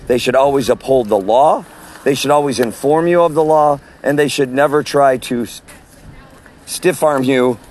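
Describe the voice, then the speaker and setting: A man with a loud voice talks to fellow street preachers in a forceful, sermon-like cadence. He sits at a middle pitch and records himself on a phone while walking outdoors. Faint street traffic hums behind him. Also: normal speed. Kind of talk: walking audio message. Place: outdoors at a farmer's market.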